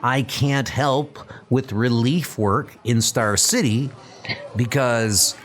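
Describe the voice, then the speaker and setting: A man's voice: takes it slowly, natural voice. A man playing an anxious, self-doubting young male rookie superhero in a comic book dub, fretful and rambling. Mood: exasperated. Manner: fretful, rambling, high-strung.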